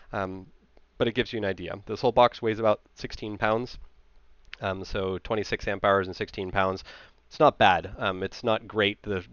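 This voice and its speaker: A man with an American accent and a lowish, clean voice explains technical material as a presenter. His delivery is informal and conversational. The recording is dry and close, with a slightly dulled top end. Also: clean tone, dry recording, muffled recording. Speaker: presenter, amateur radio hobbyist.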